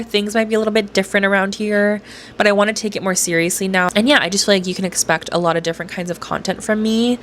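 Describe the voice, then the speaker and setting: A woman's voice: on the low side, a bit bright, a little hiss or hum. A young woman vlogging casually to camera, chatty with lots of filler words and casual swearing, on a small clip-on mic. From Orange County, California. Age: young adult. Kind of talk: casual talking-to-camera vlog.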